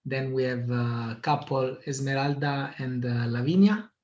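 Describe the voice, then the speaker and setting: A man's voice: medium pitch, a little slow, a little mellow. Hesitant man hosting a video call. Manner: hesitant, many filler sounds. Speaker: meeting host. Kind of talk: hosting an online meeting. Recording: muffled lo-fi video call audio.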